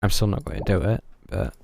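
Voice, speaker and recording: Creaky-voiced man streaming a game. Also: on the low side, noisy background. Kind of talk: casual live stream commentary.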